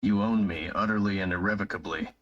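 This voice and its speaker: A man playing a devoted, yielding young male lover in a live-streamed romantic roleplay reading, with slow, breathy, dramatic declarations. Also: lowish voice, steady tone, fairly bright tone, highs cut off.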